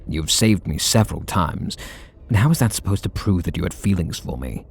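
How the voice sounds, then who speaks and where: A man voicing a flustered, tender super villain in an ASMR roleplay, speaking softly and intimately. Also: expressive, brisk, exaggerated voice. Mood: startled. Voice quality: low gravelly husky voice. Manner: soft and intimate, bashful.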